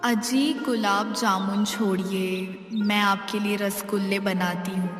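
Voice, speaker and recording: A woman's voice: mid-range voice, steady tone, slow, lightly acted. A woman playing a poor farmer's wife with a teasing, complaining manner in a cartoon moral story. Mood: mock-sweet, teasing. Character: sharp-tongued, struggling poor village farmer's wife. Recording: distant echoey reverb, music behind.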